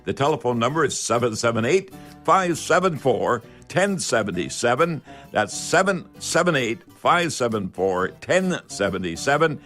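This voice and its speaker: A man's voice: mid-range voice, sing-song, normal speed, a bit bright, faint music behind. A man hosting a live call-in radio show in a folksy, repetitive patter.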